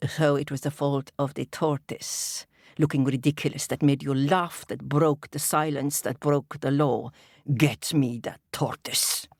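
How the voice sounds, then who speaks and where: A woman's voice: fairly even intonation, darkish tone, no room sound, studio quality, exaggerated voice. A woman voicing a pompous male crab king who is stern and commanding. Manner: slow, low and commanding.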